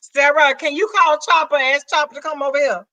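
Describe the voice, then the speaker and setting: A woman's voice: sits high, steady tone, a little fast, bright, forceful tone, no room sound, rough recording. Sassy Southern woman hosting a stream. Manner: sassy, teasing, calls others "girl" and "child". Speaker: live stream host. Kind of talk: casual banter on a live stream.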